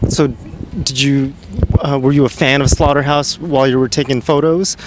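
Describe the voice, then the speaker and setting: A man's voice: mid-range voice, steady tone, moderate pace, background noise. A man interviewing a band, asking questions plainly and casually.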